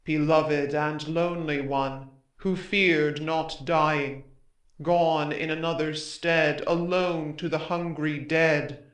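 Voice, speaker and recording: A mournful male chorus member of Greek tragedy played by a man declaims tenderly. The voice is a little high and very slow, with lyrical phrasing and echo. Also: steady tone, a bit bright. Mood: mournful, reverent. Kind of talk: classical verse drama reading. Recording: echoey room.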